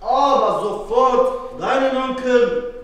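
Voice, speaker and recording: An amateur actor playing the ghost of a murdered father, giving drawn-out theatrical commands on stage. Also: very high voice, fairly bright tone, far from the mic, pitched above the performer's own voice, full character voice. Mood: commanding. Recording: echoey hall, faint music.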